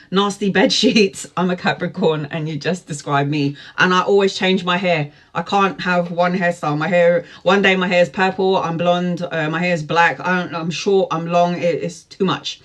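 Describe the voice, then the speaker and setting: A man's voice: sits high, a bit bright. A man in his forties, a tarot reader telling a rambling personal story to camera in a chatty way. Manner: rambling, chatty, frequent fillers and asides.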